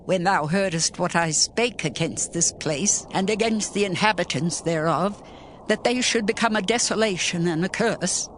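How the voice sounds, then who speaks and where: A woman's voice: fairly low pitch, slight background noise. A woman playing a stern prophetess in a dramatized scripture reading, solemnly declaiming divine judgment. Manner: deliberate, oracular, declamatory.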